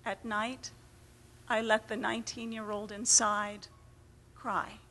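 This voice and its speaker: A woman's voice: mid-range voice, flat delivery, slow pace, pushed and bright, slight background noise. An American woman giving an emphatic, theatrical dramatic reading of a first-person story. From United States. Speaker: reader at a storytelling event. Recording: reverberant hall.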